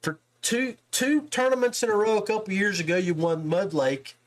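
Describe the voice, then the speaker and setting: A man's voice: high voice, fairly even intonation, normal speed. A man hosting a casual sports podcast interview, talking in a chummy, familiar way with guest and listeners.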